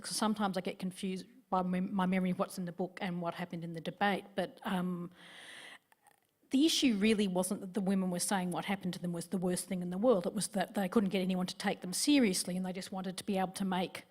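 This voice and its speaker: A woman moderating a live literary panel, talking quickly with frequent restarts. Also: lowish voice. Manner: quick, stumbling restarts, bright sign-off.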